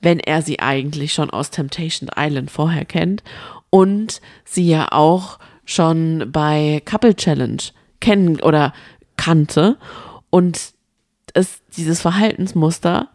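A woman's voice: sits low, takes it slowly, darkish tone, dry recording, clean studio sound. A woman co-hosting a casual, gossipy chat about reality TV, telling stories with strong opinions. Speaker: podcast co-host.

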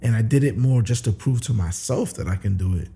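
Gravelly, husky New man. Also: mid-range voice, a little fast, mellow. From New York. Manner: easygoing, with many "uh" fillers. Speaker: podcast guest. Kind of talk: casual interview chat.

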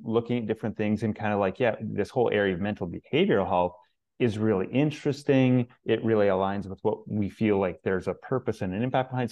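A man answers interview questions in a casual, meandering way. His voice sits fairly low, and he circles back with many restarts and filler words. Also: dark tone. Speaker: searcher guest.

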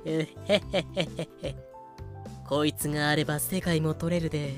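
A man playing a greedy, excitable privateer captain in a comedic voice drama, in a comically dramatic manner. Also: on the high side, a little fast, faint music behind, close to an everyday voice. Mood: gleeful greed.

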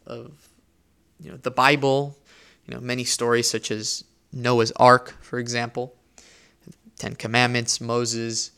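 A man's voice: mid-range voice, gravelly, bright, forceful tone, clear, resonant tone. A young man from Spain hosting a solo faith podcast, speaking earnestly and reflectively, often restarting and repeating words as he thinks aloud. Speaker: podcast host.

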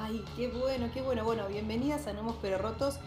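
A woman's voice: a little high, quick pace, roomy sound, music in the background. A woman from Argentina with a Rioplatense accent hosts a podcast in a casual, bubbly, exclamatory way. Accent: Rioplatense Spanish. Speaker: podcast host. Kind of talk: casual chat.

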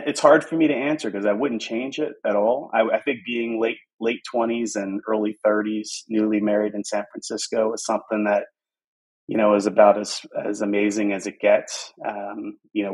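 A man from Pittsburgh in his forties, a podcast guest chatting casually, telling animated stories with frequent restarts and repeated words. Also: fairly low pitch, low-quality recording. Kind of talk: casual conversation.

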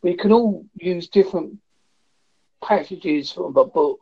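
A man in his fifties talking calmly and thoughtfully on a video call. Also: high voice, gravelly, husky, dull audio. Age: 50s. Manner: calm, measured, reflective. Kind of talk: casual conversation.

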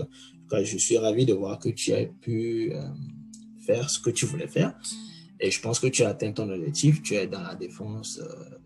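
A man's voice: lowish voice, creaky, a little mellow. A man hosting an interview, asking probing, hesitant questions. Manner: probing, hesitant, with many fillers. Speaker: podcast host.

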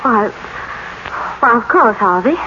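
Uneasy, restrained wife, high voice, hesitant and formal, muffled vintage radio drama. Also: female voice, expressive, slow, noisy background. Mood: anxious. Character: anxious, restrained wife confessing another love. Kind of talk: old-time radio drama acting.

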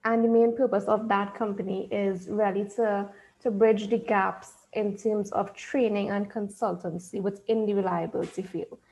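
A woman's voice: mid-range voice, steady tone, normal speed, darkish tone, rough recording. A woman with a Trinidadian accent, a podcast guest and business owner, chatting cheerfully and laughing often. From Trinidad and Tobago. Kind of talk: casual interview chat.

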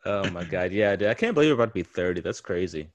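A man's voice: low voice, lively intonation, quick pace, a little hiss or hum. A man in his late twenties hosting a casual podcast chat, teasing his friend and swearing freely. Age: 20s. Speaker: podcast host.

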